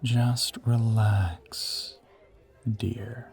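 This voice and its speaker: A man's voice: fairly low pitch, steady tone, very slow, creaky, a little mellow, heavily acted. A man playing an ancient, teasing vampire who poses as a human boyfriend in an audio roleplay, drawling and often slipping toward a whisper. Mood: smug teasing.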